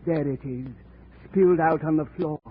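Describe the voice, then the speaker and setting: A man's voice: on the high side, normal speed, slight background noise, natural voice. A man playing a bitter, scheming murder suspect in a 1940s radio drama, sneering and defiant. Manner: sneering, defiant, panics when cornered. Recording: tinny old radio broadcast recording.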